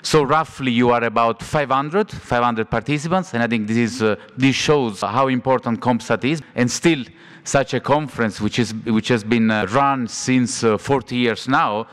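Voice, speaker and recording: A man's voice: mid-range voice, moderate pace, a bit bright, clear, resonant tone. Hesitant man giving a formal welcome. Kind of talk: welcome address. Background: faint background music.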